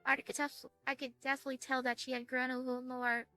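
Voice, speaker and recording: Bright, high-voiced woman hosting a let's-play. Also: little pitch movement, fast, faint music behind. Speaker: gaming video host. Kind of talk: casual let's-play chat, reading game text aloud.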